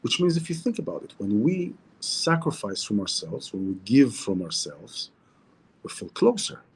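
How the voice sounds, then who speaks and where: A man's voice: medium pitch, very animated, moderate pace, slightly rough, rough recording. A man with an Israeli Hebrew accent hosting a casual weekly Torah talk indoors at a seaside hotel, reflective, repeating phrases and restarting often.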